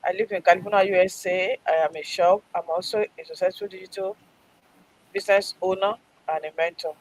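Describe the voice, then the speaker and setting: A woman with a Nigerian accent briskly introducing herself as a business owner and mentor on a live stream. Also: mid-range voice, steady tone, rough recording. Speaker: guest mentor.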